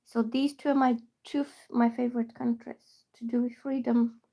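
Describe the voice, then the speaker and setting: A woman's voice: a little high, flat delivery, normal speed, warm tone. A woman from Bulgaria, freshly woken up, chatting casually with viewers on a tarot livestream through her phone. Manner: chatty and meandering, reads viewer names aloud. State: just woken up. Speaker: tarot reader.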